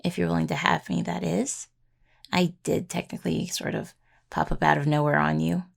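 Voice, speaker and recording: A young adult woman playing an anxious, sweet necromancer healing student in intimate roleplay audio, with a gravelly, creaky voice and a hesitant, rambling, casual delivery. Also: deep voice, flat delivery, normal speed, full character voice. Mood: affectionate, teasing.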